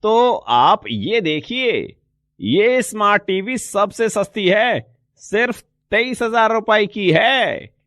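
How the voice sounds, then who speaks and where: Man playing high-voiced, polite showroom salesman. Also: lively intonation, slow, a bit bright, no echo, studio quality, heavily acted. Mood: polite, persuasive. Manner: courteous, explanatory sales patter. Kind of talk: Hindi moral story dialogue.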